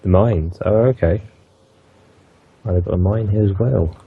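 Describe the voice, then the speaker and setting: A man with a croaky voice, playing a tabletop game and asking hesitant questions in character. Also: sits low, moderate pace, narrow, tinny audio. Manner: hesitant, restarts his questions. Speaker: player.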